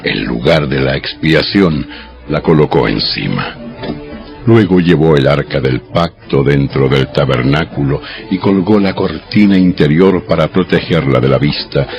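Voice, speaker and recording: A man narrating dramatized scripture from a script in a solemn, deliberate storytelling manner. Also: sits low, gravelly, muffled recording. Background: music.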